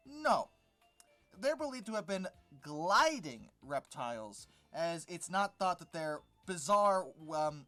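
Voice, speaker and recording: A YouTube host giving sardonic, exasperated reaction commentary while reading an article aloud, with halting, emphatic repetition. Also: mid-range voice, pushed and bright.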